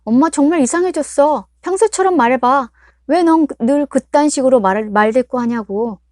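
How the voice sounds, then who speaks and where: A woman voicing a resentful teenage daughter who snaps and accuses in loud, rapid outbursts. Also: high-pitched, very animated, no echo, studio quality, voice pushed up. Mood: sullen.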